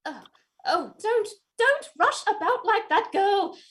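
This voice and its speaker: A woman playing a flustered, fussy, gently scolding housekeeper in a staged play reading. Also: very high voice, animated, slow, heavily acted. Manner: hesitant, fussy.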